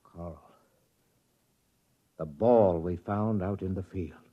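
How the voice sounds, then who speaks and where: A man's voice: low voice, slow pace. An actor playing a space crewman who narrates his own story in a matter-of-fact way, 1950s radio drama style. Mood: alarmed. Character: male space crewman narrating, steady and dry. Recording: muffled 1950s radio broadcast.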